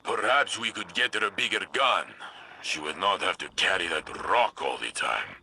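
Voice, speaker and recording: An actor playing a proud, teasing, blunt old-soldier warrior in a video game cutscene, with an emphatic, halting delivery. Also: male voice, low voice, lively intonation, gravelly, pushed and bright, slightly rough, exaggerated voice. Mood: curious. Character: gruff, teasing, proud veteran male warrior, jovially blunt.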